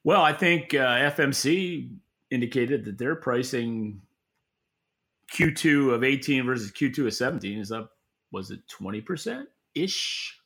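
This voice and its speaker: A man's voice: mid-range voice, animated, unhurried, fairly bright tone. A man co-hosting a podcast as an industry analyst, giving unscripted, opinionated explanations and often rephrasing mid-sentence. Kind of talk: unscripted expert market commentary.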